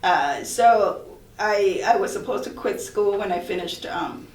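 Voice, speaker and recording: A woman's voice: mid-range voice, expressive, unhurried. A woman from Thailand, a college professor, speaking earnestly and reflectively in a panel discussion, often restarting her sentences. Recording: roomy echo, far from mic.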